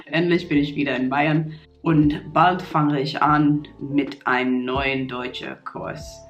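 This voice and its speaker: A woman's voice: sits low, fairly even intonation, slow pace, dull audio. A woman in her twenties from New York with an American English accent, talking casually to the camera in learner's German with small slips and fillers. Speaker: vlogger. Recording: roomy echo, soft guitar music.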